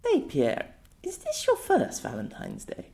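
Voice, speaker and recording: A man's voice: fairly high pitch, wildly swooping pitch, unhurried, gravelly, a little mellow, pitched above the performer's own voice, full character voice. A man voicing a playful, teasing young woman adventurer who coos and pouts, in an audiobook story. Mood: teasing, curious. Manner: cooing, pouting, cheeky.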